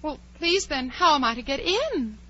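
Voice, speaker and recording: A woman's voice: sits high, big pitch swings, normal speed, sharp tone, exaggerated voice. An actress playing a polite, earnest and fretful little girl with proper diction, in 1940s radio drama style. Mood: exasperated. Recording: muffled, noisy old radio recording.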